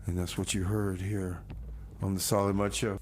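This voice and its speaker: A man's voice: low voice, level tone, moderate pace, a little mellow. Laid-back male radio DJ, some background noise. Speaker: radio show host and DJ. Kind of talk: casual community radio banter and song back-announcing.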